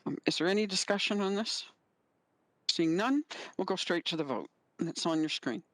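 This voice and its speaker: A woman chairing a council committee, running through votes and motions in a brisk, procedural way. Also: fairly low pitch, no echo.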